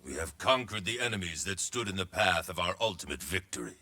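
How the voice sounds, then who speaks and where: A man's voice: low-pitched, expressive, a little fast, bright, forceful tone, heavily acted. An actor playing a male warlord ruler who speaks in an authoritative, proclaiming way. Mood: commanding.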